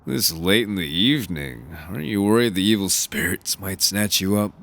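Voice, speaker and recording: A man's voice: low-pitched, lively intonation, normal speed, vocal fry, a bit bright, heavily acted. An actor playing a joking, folksy father who teases his teenage daughter in a radio drama. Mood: teasing. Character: jovial teasing father of a teenage girl.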